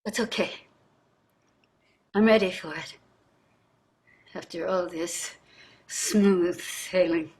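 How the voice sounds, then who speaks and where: Slow, restrained wealthy wife, acted by a woman. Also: fairly low pitch, a little mellow, slightly rough, full character voice. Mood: resolute. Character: wealthy, restrained, quietly bitter wife named Betsy. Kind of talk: staged play reading over video call.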